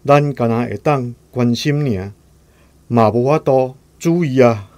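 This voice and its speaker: A man's voice: mid-range voice, unhurried, darkish tone, no echo, natural voice. Resigned older Taiwanese father played by a man. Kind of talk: radio drama acting.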